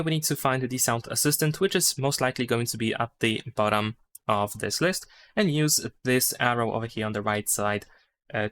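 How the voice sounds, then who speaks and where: A man's voice: medium pitch, fairly bright tone. Man narrating a tutorial over background noise. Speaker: tutorial host. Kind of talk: unscripted step-by-step phone tutorial narration.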